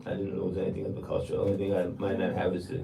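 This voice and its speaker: Deep-voiced older man, distant and roomy. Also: rapid-fire, vocal fry. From Jamaica. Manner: relaxed, repeats words for emphasis. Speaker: DJ guest. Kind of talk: livestream interview answers. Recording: roomy, distant, noisy livestream audio.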